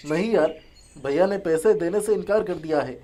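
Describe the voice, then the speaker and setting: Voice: medium pitch, moderate pace, mellow, natural voice. An actor playing a resentful, scheming young farmer, a younger brother, with a sulky, complaining manner.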